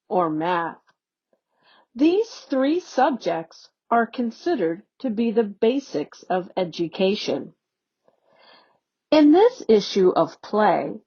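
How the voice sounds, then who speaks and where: A woman educator reading a children's newsletter aloud in a slow, deliberate, teacherly way. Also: medium pitch, a little mellow, husky. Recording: dull, lo-fi recording.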